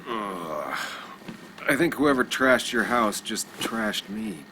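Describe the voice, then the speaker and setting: An actor playing a brooding, loyal journalist in his thirties in a video game, with measured, understated delivery. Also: medium pitch, very animated, background noise. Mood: groggy, irritated. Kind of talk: naturalistic video game acting.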